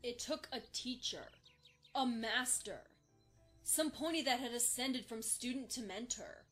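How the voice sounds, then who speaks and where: A confident young unicorn mare, played by a woman, speaks in a slightly high voice. She is earnest and consoling, like a mentor to a student. Quiet music plays underneath this fan audio drama. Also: a little slow.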